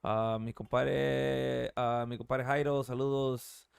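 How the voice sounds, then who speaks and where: A man in his thirties hosting a podcast, chatting casually in English and Spanish, swearing easily and reading out shout-outs from the chat. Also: mid-range voice, level tone, a little slow, creaky, smooth, dry recording, studio quality. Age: 30s. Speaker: podcast host.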